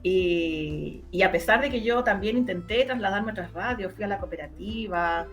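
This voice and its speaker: A woman's voice: mid-range voice, normal speed. Chilean woman chatting, music behind. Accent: Chilean Spanish. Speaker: interview guest, radio drama producer. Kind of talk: casual conversational recollection.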